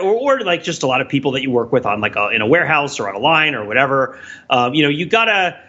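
A man's voice: mid-range voice, sharp tone, husky, lo-fi sound. A man co-hosting a podcast in casual, analytical chat, thinking aloud in a discursive way. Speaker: podcast co-host.

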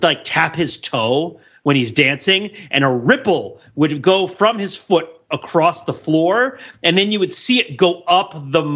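A man hosts a casual podcast in a fairly high, very lively voice. His tone is bright and forceful, and he digresses freely, tossing in "like" and "right?" as he goes. The recording is slightly dull and lo-fi. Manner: animated, digressive, peppers talk with "like" and "right?". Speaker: podcast host. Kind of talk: casual podcast discussion and critique.

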